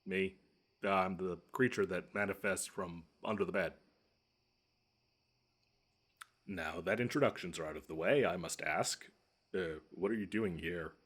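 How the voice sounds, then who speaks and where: Audio roleplay monster, male actor: very low voice, smug, taunting, theatrical, menacing but quick to get flustered. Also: fairly bright tone, husky. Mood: startled, demanding. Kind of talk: scripted one-sided audio roleplay.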